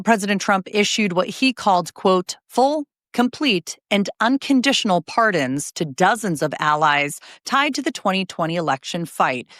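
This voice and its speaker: A woman in her thirties hosting a news podcast, reading scripted headlines in a bright, conversational way with light asides. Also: lowish voice, dry recording, clean studio sound.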